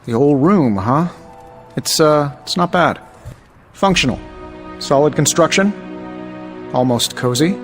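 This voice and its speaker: An actor playing a flippant superhero inventor in a video game cutscene, sarcastic and chatty with nervous bravado and hesitant asides. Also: male voice, on the high side, lively intonation, unhurried, pauses often. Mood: wry, uneasy. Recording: loud music underneath. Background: music.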